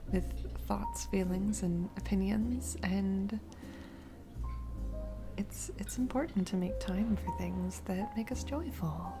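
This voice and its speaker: A woman plays a warm, encouraging single mother on a first date in a romantic audio roleplay. She talks slowly and hesitantly, often giggly, in a mid-pitched female voice. Music plays clearly behind her. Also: close to an everyday voice. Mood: tender, heartfelt. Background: background music, restaurant date ambiance.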